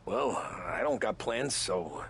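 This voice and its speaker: An actor playing a reserved young recruit who answers in a guarded, terse way. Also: male voice, on the low side, sing-song, slow, vocal fry, a bit bright, slight background noise, full character voice.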